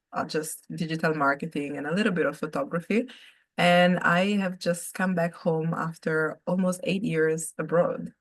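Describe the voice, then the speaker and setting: A woman from Italy whose first language is Italian, speaking English as a guest in a relaxed, open conversational interview and laughing easily. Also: sits low. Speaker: podcast guest.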